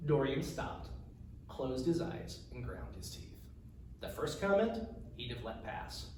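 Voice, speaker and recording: Male author narrating fantasy prose, measured and formal, heard far from the mic in a very reverberant room. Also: medium pitch, big pitch swings, darkish tone. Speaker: author reading own novel aloud. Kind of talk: narrated fantasy fiction reading. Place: echoey room, recorded from a distance. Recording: distant mic, very echoey room, faint noise.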